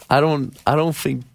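A man's voice: a little high, creaky, no room sound. A man with a Persian accent hosting a music radio show, chatting casually and rambling with lots of fillers and restarts. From Iran. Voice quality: nasal. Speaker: radio host.

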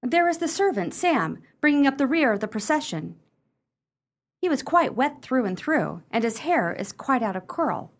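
A woman's voice: sits high, sing-song, normal speed, exaggerated voice. A woman playing a watchful, wry sister in a comic period radio play, declaiming theatrically. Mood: observant.

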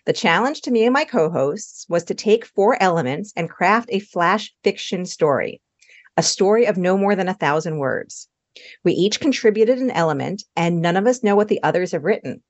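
A woman hosting a podcast, introducing the episode in an upbeat, clearly explaining way. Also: lowish voice, expressive, moderate pace, lo-fi sound. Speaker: podcast host. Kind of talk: scripted show introduction.

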